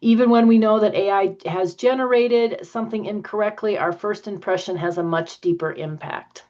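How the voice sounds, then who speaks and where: A woman's voice: mid-range voice, fairly even intonation, moderate pace, a little mellow, dull audio. A woman moderating a session and relaying questions from the audience.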